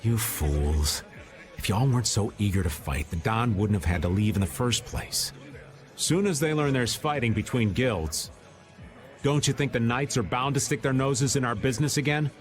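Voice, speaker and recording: A man's voice: medium pitch, normal speed, close to an everyday voice. An actor playing a laid-back, wily older man in a video game, talking in a casual, folksy, theatrical way. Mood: exasperated. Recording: music under the voice. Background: game background music.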